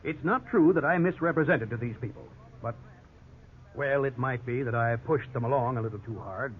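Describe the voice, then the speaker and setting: A man's voice: mid-range voice, normal speed, close to an everyday voice. A man with an American accent playing a defensive, conciliatory real estate agent in a 1950s radio drama, formal and self-justifying. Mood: reluctant, hedging. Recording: tinny, noisy 1950s radio recording.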